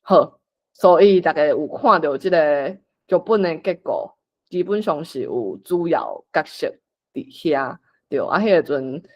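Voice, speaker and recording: A Taiwanese-accented facilitator talks a group through a script reading. The pitch swings up and down in an animated way, and the delivery is informal, like someone thinking aloud while organising things. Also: measured pace, dull audio. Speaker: workshop facilitator.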